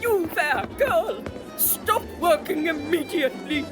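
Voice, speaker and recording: A woman's voice: very high voice, expressive, slow, over music, heavily acted. A woman playing an earnest young street irregular who bluffs in disguise, with a theatrical, over-formal manner, in an audio drama. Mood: pompous, stern. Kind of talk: children's audio drama acting.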